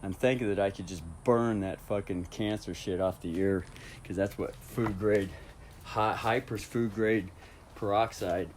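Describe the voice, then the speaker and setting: A man's voice: fairly low pitch, steady tone, a little slow, a little mellow. A man rambling casually in a home vlog, with a profane, unfiltered way of talking. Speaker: vlogger. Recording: clearly noisy ambient background.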